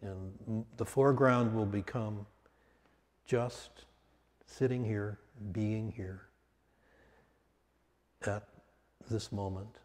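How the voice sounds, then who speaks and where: A man leading a guided meditation as a teacher, speaking calmly and off the cuff in short, measured phrases with long pauses. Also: fairly low pitch. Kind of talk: guided meditation, spoken slowly and unscripted. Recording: long reverberant hall sound.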